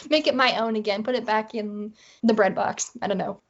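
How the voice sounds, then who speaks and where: Woman, high-ish pitch, casual interview guest, self-mocking, quotes her own thoughts, dulled audio. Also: a little slow. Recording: dull, lo-fi audio.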